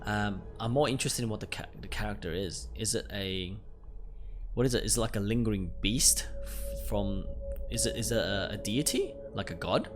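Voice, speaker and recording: A man hosting a let's play, reading game text aloud and reacting in a casual, expressive way. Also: fairly low pitch, moderate pace. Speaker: gaming video host. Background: game music.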